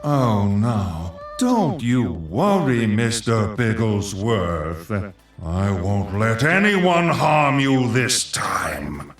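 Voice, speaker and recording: A man's voice: lowish voice, sing-song, extremely slow, distant mic, soft background music, exaggerated voice. A man voicing a pompous, sardonic undead archlich sorcerer for a video game, with theatrical menace, dry asides and a hollow echo. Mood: wry, smug. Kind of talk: video game character voice lines.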